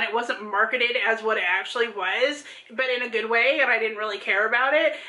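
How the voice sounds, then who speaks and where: A woman's voice: fairly high pitch, pushed and bright. A woman in her thirties chatting casually about books as a vlog co-host, gushing and emphatic. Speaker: book vlogger, co-host. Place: small room at home. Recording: roomy home video sound.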